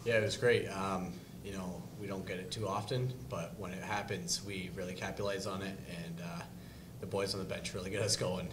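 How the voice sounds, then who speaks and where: Young lacrosse player, low even voice, laid back, table mic with a rumble behind. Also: male voice, a little fast. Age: young adult. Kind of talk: postgame press conference answers. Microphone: table mic on stand.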